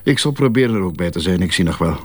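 A man's voice: low-pitched, animated, racing, husky. An actor playing a coarse, sardonic businessman in a radio drama.

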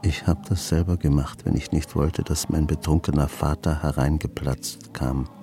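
A man's voice: rumbling low, steady tone, normal speed, dark tone, faint music behind. An actor playing a grumpy old misanthropic grandfather in a German radio drama, with a sardonic, brooding, dramatic delivery. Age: older. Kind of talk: German radio drama acting, dialogue and inner monologue.

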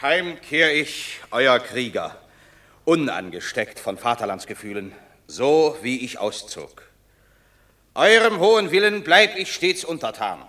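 An actor playing a proud, imperious warrior in a classical radio drama, with solemn declamation. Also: male voice, medium pitch, very animated, measured pace, sharp tone. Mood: proud, formal. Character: proud, imperious male Roman warrior returning from war. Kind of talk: classical stage-verse radio drama acting.

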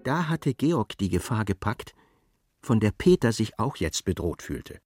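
A man's voice: lowish voice, big pitch swings, measured pace, darkish tone, breathy edge, no room sound, clean studio sound, soft background music, full character voice. A man narrating a literary radio play in a dramatic, scripted style.

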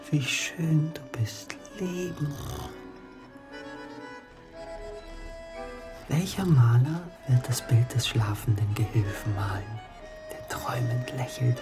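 An actor playing a bitter, dreamy poor youth, narrating in a brooding, intimate, gravelly way in a literary radio drama. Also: male voice, on the low side, dark tone. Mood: dreamy, rapturous. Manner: brooding, intimate, sometimes whispering.

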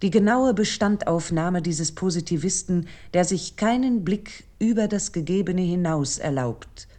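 Female radio play narrator, played by an actress: deep, dark voice, solemn and measured literary delivery. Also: close to an everyday voice. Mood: solemn, reflective.

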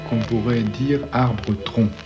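A man tells a reflective story in short, halting fragments. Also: mid-range voice, steady tone. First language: French. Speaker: composer telling his story. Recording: music playing behind the voice. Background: music.

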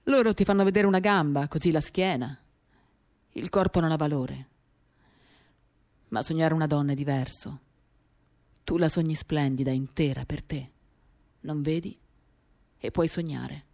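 A woman voicing a self-assured, persuasive man in a literary radio reading, hushed and deliberate. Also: sits low, no echo, pitched below the performer's own voice. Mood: calm, persuasive. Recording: acoustic guitar accompaniment.

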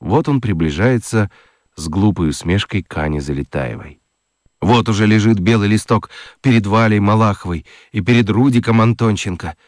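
A man giving an expressive, theatrical scripted narration of a children's story. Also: fairly low pitch, normal speed, dry recording, clean studio sound.

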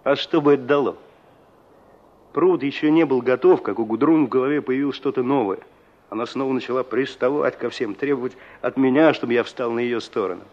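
An actor as a gruff but good-natured village forest warden in an old Soviet radio play. His middle-pitched voice is plain-spoken and conversational, on a slightly muffled recording. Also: a little fast, a little mellow, natural voice. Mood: reflective, explaining.

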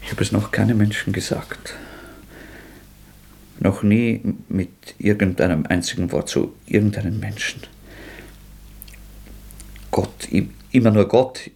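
A feverish young killer, gravelly and husky, bitter and scornful. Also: male voice, on the low side, measured pace, pauses often, darkish tone, exaggerated voice. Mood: tormented. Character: tormented young male murderer, feverish, bitter.

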